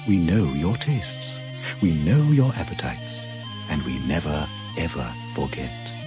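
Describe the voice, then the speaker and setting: An actor playing the male broadcast voice of a ruling computer, soothing and smooth like an announcer. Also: extremely low pitch, animated, measured pace. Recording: dull lo-fi radio drama recording with music.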